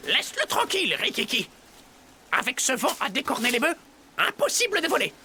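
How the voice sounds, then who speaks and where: Casting: a pushy little animal villain for cartoons, extremely high and squeaky, wildly swooping, music underneath. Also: female voice, sing-song, fairly quick, pushed and bright, a little hiss or hum, exaggerated voice. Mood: bossy. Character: small bossy scheming animal troublemaker, gloating, impatient. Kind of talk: dubbed children's cartoon acting.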